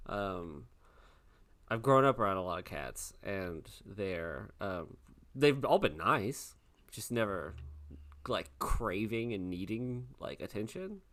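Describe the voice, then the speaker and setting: Low-voiced, animated man chatting casually. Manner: rambling, halting, self-interrupting. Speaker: podcast host and player. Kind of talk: casual chat.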